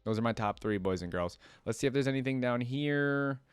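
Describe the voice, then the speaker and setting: A man's voice: medium pitch, a little fast, clean tone, no echo. A man hosting a reaction video, commenting casually and informally, thinking aloud as he browses and reads.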